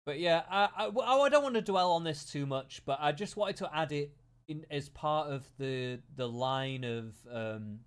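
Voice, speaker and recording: A man's voice: fairly high pitch, expressive, moderate pace, clean tone. A British man hosting a podcast, chatting in a rambling, opinionated, stop-start way. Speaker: podcast host. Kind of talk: casual chat.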